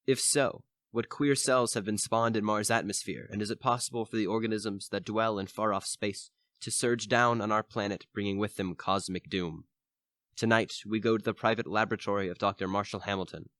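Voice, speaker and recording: A man's voice: fairly low pitch, steady tone, brisk, a bit bright, dry recording. A teenage male announcer giving dramatic scripted narration for a radio play.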